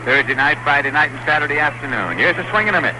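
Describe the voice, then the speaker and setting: A man's voice: on the high side, animated, fast talker. An American man calling baseball play-by-play in a 1960s radio style, on a noisy old broadcast. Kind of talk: 1960s radio sports commentary.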